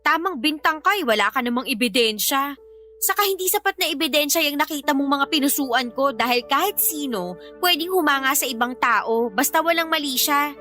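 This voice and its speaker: A Filipina actress playing a sharp-tongued, irritable young live-in girlfriend in an audio drama, scolding and dismissive. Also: high voice, expressive, fairly quick, soft background music. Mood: indignant, scolding. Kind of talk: Filipino radio-style drama acting.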